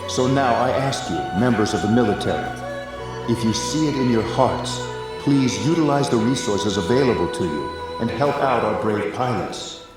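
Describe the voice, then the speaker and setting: An actor playing a solemn, resolute president in a video game, giving a formal, measured and earnest speech to soldiers. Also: male voice, mid-range voice, lively intonation, lightly acted. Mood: grave, appealing. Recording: echoing distant public address, music behind.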